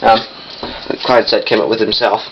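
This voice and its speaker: A young man giving a casual hands-on demonstration in a halting, piecemeal way, often correcting himself. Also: on the low side, fairly even intonation. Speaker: vintage audio collector demonstrating a machine. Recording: muffled lo-fi camcorder sound, noisy.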